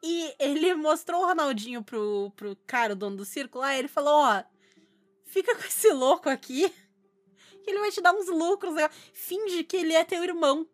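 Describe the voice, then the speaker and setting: High-pitched southern Brazilian woman telling stories. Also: moderate pace, soft background music. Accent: southern Brazilian Portuguese from Rio Grande do Sul. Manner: animated anecdote telling with slangy speech. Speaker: podcast co-host. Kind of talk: casual chat, storytelling.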